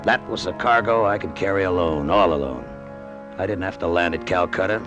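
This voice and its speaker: An actor plays a hard-boiled American male pilot, haunted and greedy. His voice is low and his delivery clipped and brooding. It is vintage radio drama, with music playing underneath. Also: brisk, highs cut off, lightly acted. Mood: scheming. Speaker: actor narrating in character. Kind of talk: old-time radio drama acting.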